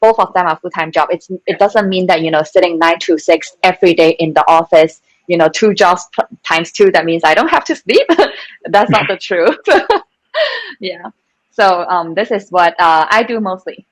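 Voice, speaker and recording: A woman's voice: on the low side, normal speed, no room sound, rough recording. A woman from Hong Kong speaking as a panelist in a casual online chat, chatty, with many fillers and restarts. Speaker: panelist, venture capital co-founder.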